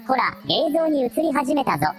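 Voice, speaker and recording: ラジオドラマで、簡潔な報告口調で話す忠実で分析的な機械の相棒を演じる女性。. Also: female voice, high voice, sing-song, normal speed, soft background music. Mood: analytical. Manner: terse formal report-like phrasing. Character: loyal analytical machine assistant, precise and matter-of-fact. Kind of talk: amateur anime-like radio drama acting.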